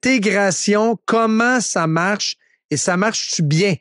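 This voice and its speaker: A man's voice: sits high, a little slow, a bit bright, dry recording, studio quality. A man with a Quebec French accent hosting a radio talk show, blunt and joking. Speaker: radio host.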